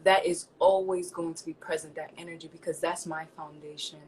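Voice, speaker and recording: Spiritual New woman, low voice. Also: brisk, rough recording. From New York, United States. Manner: spiritual, expressive, talks in lofty phrases. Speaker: guest, chef and seasoning maker. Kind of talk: interview answers.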